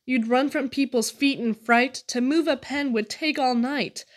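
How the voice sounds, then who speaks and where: A woman reads children's verse expressively. Her voice sits high for a woman, and she goes slowly through each line. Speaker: poetry reader. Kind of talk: expressive children's poetry reading.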